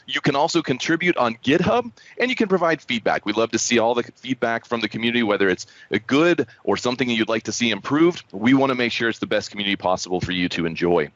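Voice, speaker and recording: A man's voice: mid-range voice, expressive, quick pace, hardly any pauses, a bit bright. A man hosting an online developer community call, presenting in an upbeat, encouraging and enthusiastic way. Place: indoors, online video call. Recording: muffled, roomy online call audio.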